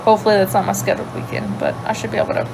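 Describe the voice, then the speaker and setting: A young woman from Louisiana with a light Cajun accent, chatting casually on a livestream and answering viewer questions, thinking aloud and trailing off into quiet asides. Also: mid-range voice, huge pitch swings, very fast, vocal fry, heavy background noise. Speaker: livestream host. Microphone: phone.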